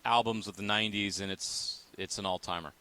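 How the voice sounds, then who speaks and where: A man's voice: lowish voice, fairly even intonation, brisk, pushed and bright, clean tone, no room sound. An American man co-hosting sports radio in a casual, conversational style.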